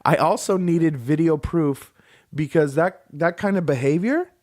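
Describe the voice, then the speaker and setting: A man's voice: on the high side, unhurried, a little mellow. A man in his thirties co-hosts a casual podcast and is still getting over the flu. He talks in loose, rambling runs, throws in jokes and laughs often. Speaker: podcast co-host. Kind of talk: casual chat.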